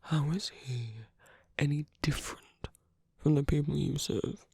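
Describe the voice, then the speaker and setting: Man playing a slow, breathy, whispering villain. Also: a little high, fairly even intonation, no echo, clean studio sound, heavily acted. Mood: scornful, persuasive. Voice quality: soft, breathy. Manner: slow, teasing, murmuring, often dropping into whispers. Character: suave, teasing male villain at a royal masquerade. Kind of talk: intimate ASMR roleplay acting.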